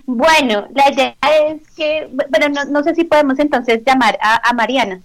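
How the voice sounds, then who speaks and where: High-voiced woman teacher, dry sound. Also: moderate pace, no room sound. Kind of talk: presenting on a video call panel. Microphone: video call.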